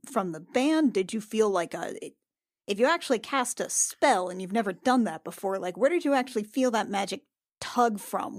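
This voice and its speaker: A woman playing an awkward, guarded but protective warrior in a fantasy game, speaking in a halting, wry, casual way. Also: on the high side, no room sound, clean studio sound. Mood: curious, wry.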